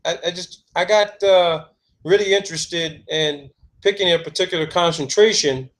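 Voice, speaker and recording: A man's voice: high-pitched, moderate pace, a bit bright. A man calling to ask questions, stammering and eager as he talks. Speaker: caller. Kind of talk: phone conversation.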